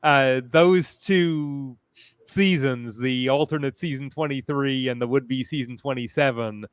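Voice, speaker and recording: Slow, smooth-voiced man discussing thoughtfully. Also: mid-range voice, no echo. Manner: hesitant, halting, thoughtful phrasing. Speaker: panelist. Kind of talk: fan panel discussion.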